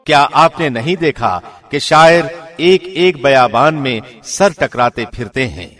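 A man reads scripture translation formally, like a radio presenter. His mid-pitched voice moves widely up and down within each sentence, and a long reverb trails his words. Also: lively intonation, fairly bright tone, faint music behind.

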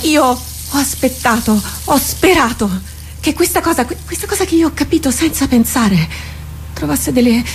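A woman playing a tormented, haunted warrior captain in a radio drama, halting and brooding, repeating herself. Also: high-pitched, expressive. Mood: troubled. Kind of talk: theatrical radio drama acting. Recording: noisy radio drama recording with clicks.

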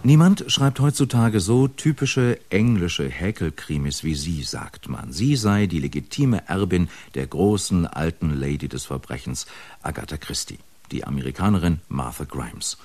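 A man announcing upcoming radio crime dramas in a smooth, scripted trailer narration. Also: sits low, animated, moderate pace, darkish tone, no echo, soft background music.

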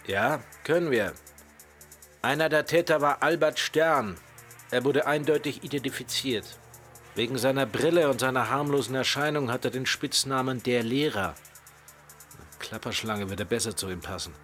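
Actor as a stern, official police commissioner. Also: male voice, medium pitch, moderate pace, fairly bright tone, faint music behind, close to an everyday voice. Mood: authoritative. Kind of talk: radio drama acting.

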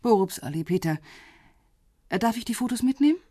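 An actress playing a cool, businesslike police intelligence officer in a radio drama, composed and teasing, with a husky voice. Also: female voice, a little high, animated, brisk, warm tone, no room sound, clean studio sound. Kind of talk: naturalistic radio drama dialogue.

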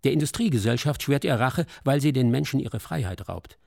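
A man's voice: mid-range voice, lively intonation, fairly quick, gravelly, darkish tone, no room sound, clean studio sound. A man narrating a scripted, literary radio drama.

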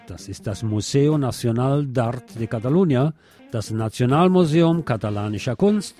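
A man's voice: medium pitch, mellow. A man presenting scripted local radio news with dry, wry asides. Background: plucked guitar music.

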